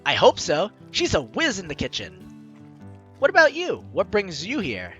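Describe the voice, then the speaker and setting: A woman's voice: mid-range voice, huge pitch swings, moderate pace, highs cut off, faint music behind. A young woman hosting a let's play, reading game text aloud theatrically with playful, chatty asides. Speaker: gaming YouTuber, host.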